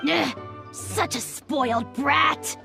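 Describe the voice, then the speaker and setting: A woman's voice: sits high, slow, vocal fry, heavily acted. A woman voicing a small, cantankerous, boastful creature companion in a video game, with an indignant, blustering manner, recorded with music behind.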